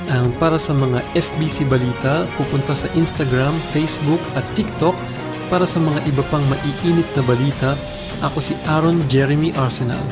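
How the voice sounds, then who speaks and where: A man's voice: fairly high pitch, steady tone, moderate pace. A man with a Filipino accent anchoring a scripted TV newscast. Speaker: news anchor. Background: loud music.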